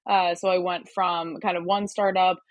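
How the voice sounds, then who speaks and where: Guest: young woman, American accent, lowish voice, rambling casual delivery, dry close sound. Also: level tone, normal speed, no room sound. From Turkey. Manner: chatty, many fillers and restarts. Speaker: podcast guest, hardware startup advisor. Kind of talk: casual interview conversation.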